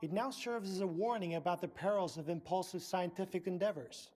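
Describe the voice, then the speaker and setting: High-voiced, pompous male scientist. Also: fairly quick, a little mellow. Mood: dry, lecturing. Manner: formal, precise, condescending. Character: pompous middle-aged male scientist, director of research center. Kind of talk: video game dialogue.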